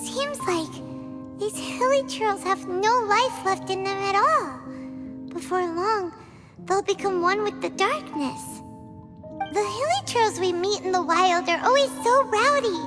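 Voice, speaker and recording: A tiny fairy-like girl companion in a video game chatters in a very high, bouncing voice. She refers to herself by name instead of saying "I". Game music plays clearly underneath. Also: animated, a little slow. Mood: somber. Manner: refers to herself in third person, bubbly.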